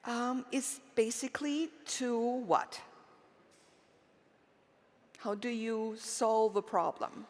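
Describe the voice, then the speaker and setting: A middle-aged woman from Romania speaks from a conference stage, her voice sitting a little high. She poses rhetorical questions to the audience and answers them, with fillers and restarts along the way. A long reverb from the large hall hangs after her words. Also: slow, pauses often. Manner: earnest, with frequent fillers and restarts. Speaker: keynote speaker. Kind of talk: conference keynote talk.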